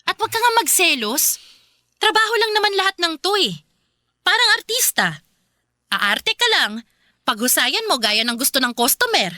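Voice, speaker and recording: A woman's voice: sits high, big pitch swings, normal speed, pauses often, pushed and bright, no room sound, studio quality, full character voice. An actress playing a brash, streetwise young Filipina girlfriend in a radio drama, assertive and exasperated. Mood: insistent. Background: faint music.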